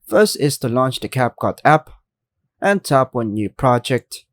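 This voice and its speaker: A man's voice: mid-range voice, sing-song, unhurried, no room sound, clean studio sound. A man hosting a step-by-step tutorial, reading scripted instructions plainly in short segmented phrases. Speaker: tutorial host.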